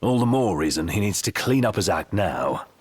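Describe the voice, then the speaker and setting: An actor playing a measured, analytical royal adviser in a video game, precise and formal. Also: male voice, deep voice, expressive, husky, no room sound. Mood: pensive, reproving. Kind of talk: video game cutscene acting. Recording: loud ambient noise behind.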